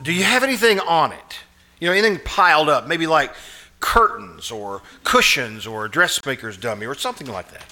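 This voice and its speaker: A man playing an anxious, haunted fellow in live old-time radio suspense style, halting, pleading and earnest. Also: a little high, big pitch swings, pushed and bright, heavily acted. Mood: insistent, pleading. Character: anxious, haunted man obsessed with a shadowy vision.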